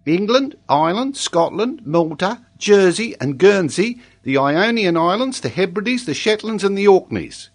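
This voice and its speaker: A very high, childlike voice of an earnest schoolboy. He recites storybook answers matter-of-factly, in tidy lists, with unshakable confidence. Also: sing-song, unhurried, few pauses, exaggerated voice. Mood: earnest, assured.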